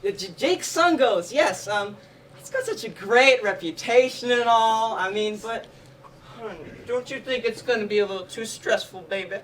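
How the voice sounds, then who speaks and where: A woman's voice: a little high, animated, normal speed, lightly acted. A young woman, a student stage actor, playing a domineering, scornful spouse who complains and condescends in a live one-act play. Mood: flustered, coaxing. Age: teenager or young adult student. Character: domineering, fault-finding spouse named Sam, married two years. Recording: distant noisy stage recording.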